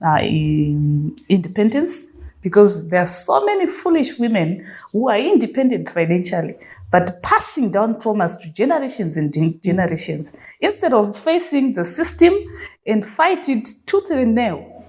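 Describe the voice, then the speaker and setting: A woman's voice: medium pitch, sing-song, normal speed. Emphatic, passionate woman host. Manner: emphatic, passionate argument with sharp stresses. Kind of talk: conversational interview.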